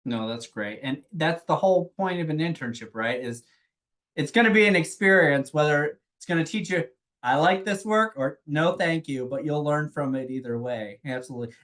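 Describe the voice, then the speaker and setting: A man's voice: medium pitch, fairly bright tone. A man moderating a webinar panel, affirming answers in a friendly way before moving on.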